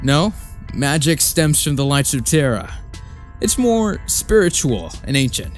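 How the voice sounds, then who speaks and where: An earnest young male exorcist acted by a man, with a very animated, swinging delivery. He explains emphatically in fantasy audiobook style, over faint music. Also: on the high side, a little slow. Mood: explaining, assured. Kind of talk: dramatised fantasy audiobook acting.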